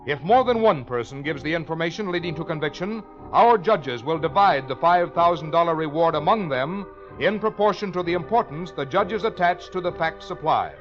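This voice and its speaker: A man's voice: fairly high pitch, lively intonation, moderate pace. A man working as a radio announcer, reading a formal, carefully enunciated script in 1950s broadcast style. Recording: muffled 1950 radio broadcast, faint music.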